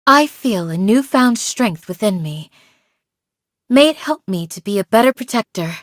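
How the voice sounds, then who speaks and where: A woman playing a calm, devoted village guardian in a video game, speaking in a measured, formal, gently solemn way. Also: medium pitch, no room sound, clean studio sound, close to an everyday voice. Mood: gentle, warm. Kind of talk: scripted game character voice lines.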